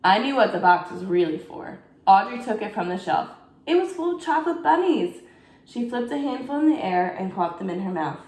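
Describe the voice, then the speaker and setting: A woman, a teacher, expressively reading a bedtime story aloud to children and pausing to ask her young listeners questions. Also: mid-range voice, big pitch swings, darkish tone. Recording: echoey room.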